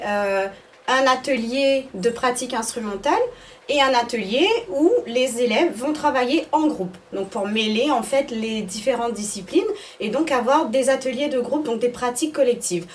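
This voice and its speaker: Woman school director explaining stuff in an interview, highish voice, bit hesitant, mic a little far off. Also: moderate pace.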